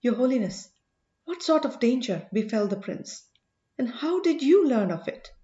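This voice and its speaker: A respectful, questioning man voiced by a woman narrator, high in pitch and carefully insistent. It is audiobook dialogue with a wary edge. The sound is dull and echoey. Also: very animated, moderate pace, dark tone.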